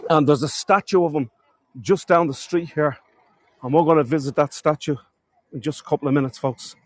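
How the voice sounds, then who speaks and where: A man's voice: on the high side, fairly quick, dry recording. A man with a cold, sniffling as he gives a chatty live-streamed walking tour outdoors, talking to viewers and calling them folks. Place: outdoors in a town.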